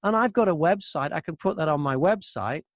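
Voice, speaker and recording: A man's voice: fairly high pitch, lively intonation, fairly quick, no echo, clean studio sound. A man chatting casually as an interview guest, an audiobook narrator who tells stories by acting out both sides of exchanges. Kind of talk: casual anecdotal interview chat.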